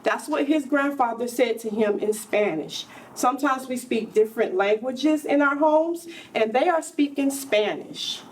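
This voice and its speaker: A woman's voice: sits high, unhurried, few pauses, recorded from a distance, slight background noise, low-quality recording. A woman teacher reading a storybook aloud to young children, explaining things simply as she goes. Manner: instructive, addresses the children directly, explains words simply. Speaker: preschool teacher.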